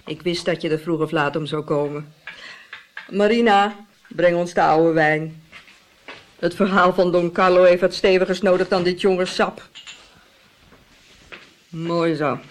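A woman's voice: on the low side, fairly even intonation, measured pace, pauses often, close to an everyday voice. A woman playing a hearty, gossipy Italian innkeeper who relishes telling scandal in a Dutch radio play. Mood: chatty, confiding. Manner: theatrical, relishing storytelling with exclamations. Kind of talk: Dutch radio drama acting.

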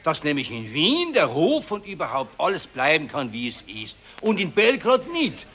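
An actor playing a smug, self-satisfied citizen who agrees ponderously, in a 1940s German radio drama. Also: male voice, fairly high pitch, wildly swooping pitch, normal speed, pushed and bright, a little hiss or hum, exaggerated voice. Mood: argumentative.

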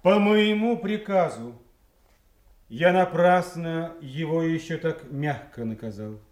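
Brazen nobleman, audio play, raised pitch, unhurried delivery, roomy echo. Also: animated, a little mellow. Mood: defiant. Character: brazen, hard nobleman who punished the king's servant. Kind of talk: Russian classical stage drama acting, audio play. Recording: echoing, slightly distant studio audio play.

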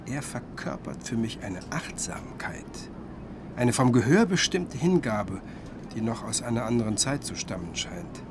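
An actor playing an earnest, curious younger music scholar in a radio drama, speaking politely and thoughtfully. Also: male voice, mid-range voice, animated, unhurried, background noise. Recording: music behind the voice.

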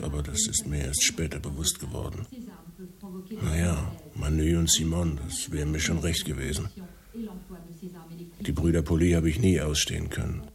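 An actor playing an older gangster boss in a German radio drama, musing in a resigned, understated, halting way. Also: male voice, very deep voice.

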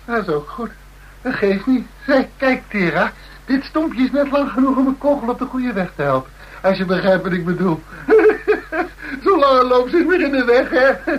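A young male gangster in a radio drama, his voice strikingly high for a man. He is cocky and taunting, with a gleeful menace under the playful talk. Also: animated, normal speed, muffled recording. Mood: cocky, amused. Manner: taunting, laughing, playfully threatening. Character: cocky menacing young male gang member fond of guns. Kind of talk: Dutch radio play acting.

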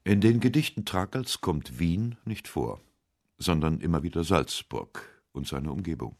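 A man narrating a scripted literary radio essay with measured, deliberate phrasing. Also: low-pitched, animated, a little mellow, dry recording, clean studio sound. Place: dry radio studio.

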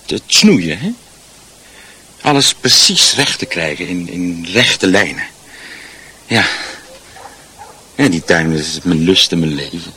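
Fussy, bright-voiced provincial husband. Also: male voice, low-pitched, husky, heavily acted. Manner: hesitant, stammering, repeating himself. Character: fussy, chatty provincial husband and proud homeowner. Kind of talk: Dutch radio drama acting.

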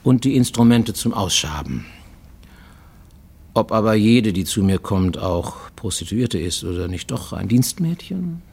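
An actor playing a paranoid, self-justifying fugitive who works as an illicit medic, narrating formally and deliberately in a radio play monologue. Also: male voice, fairly low pitch, sing-song, creaky, darkish tone, husky, full character voice. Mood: bitter, matter-of-fact. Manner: formal, deliberate, insistently explaining himself to a listener.

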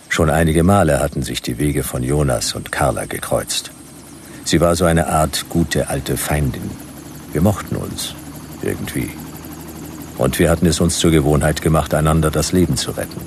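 An actor playing a laconic hardboiled detective who narrates a radio play in a dry, clipped, gravelly delivery. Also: male voice, rumbling low, moderate pace, slight background noise. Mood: dry, suspenseful.

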